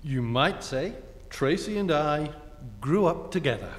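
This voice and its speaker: Guy doing a smooth sly gentleman ex, highish voice, old radio comedy, very echoey hall. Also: animated, a little slow. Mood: cool, sly. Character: suave, cool gentlemanly ex-husband with sly reserve.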